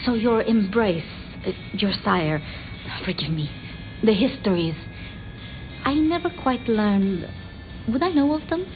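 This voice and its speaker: A woman voicing a timid, bookish, deferential vampire scholar in video game dialogue, speaking haltingly and apologetically. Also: medium pitch, expressive, phone-quality sound, close to an everyday voice. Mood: hesitant curiosity. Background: background music.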